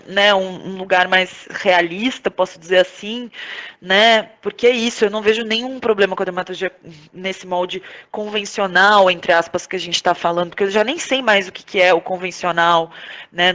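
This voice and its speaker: A woman's voice: medium pitch, fairly bright tone. A Brazilian woman speaking as an interview guest, reflective and conversational, repeating words as she searches for her thought. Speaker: podcast guest, playwright and performer.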